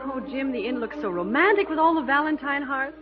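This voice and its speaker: A woman's voice: sits high, lively intonation, brisk. An actress playing a sweet young woman in love, in a 1950s radio musical. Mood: delighted, tender. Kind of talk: 1950s radio musical acting and operatic singing. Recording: dull 1950s radio, loud music behind.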